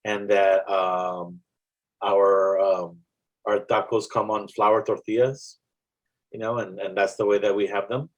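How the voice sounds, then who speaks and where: A man from South Texas with a South Texas accent, a poet talking about his work in a casual interview, reflective and halting, with many restarts. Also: fairly low pitch, little pitch movement, dull audio. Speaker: poet guest.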